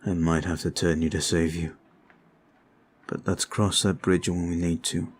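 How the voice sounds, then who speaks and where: A man voicing a devoted young male vampire in an ASMR roleplay audio. He speaks in an earnest, formal, quiet way. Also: deep voice, level tone, measured pace, husky.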